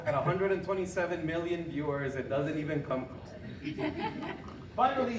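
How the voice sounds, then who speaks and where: A young man from Honduras giving a lively, joking talk and quizzing a live audience. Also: a little high. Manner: joking, quizzing the audience, chuckling at own jokes. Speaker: presenter. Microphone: room mic from a distance. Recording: echoey hall recorded from far off, background noise.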